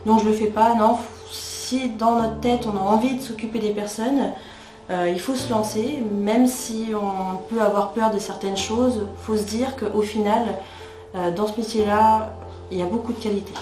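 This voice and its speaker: A woman talks informally, in a medium-pitched voice, as a care worker giving a testimonial. The sound is clearly echoey, with music playing behind. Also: fairly even intonation, normal speed, dark tone. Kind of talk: interview testimonial about their job. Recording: echoey room, music bed.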